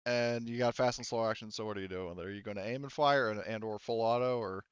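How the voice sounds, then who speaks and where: A man's voice: fairly low pitch, fast, clear, resonant tone, no room sound, muffled recording. A man acting as game master, narrating the action and working through rules in an informal, exclamatory way. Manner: informal, exclamatory, swears freely. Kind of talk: casual tabletop game narration and rules adjudication.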